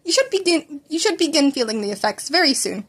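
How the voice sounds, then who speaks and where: A woman's voice: high-pitched, sing-song, a little fast. A woman voicing an earnest adult male hero mentor, formal and hesitant, in a story reading. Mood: concerned.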